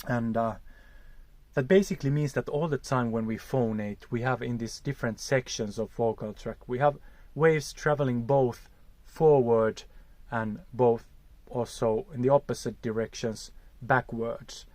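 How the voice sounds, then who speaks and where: A man's voice: mid-range voice, unhurried, a little mellow, no room sound. A man with a Finnish accent teaching vocal technique, explaining acoustics in a careful, hesitant way. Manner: careful, hesitant, searching for words.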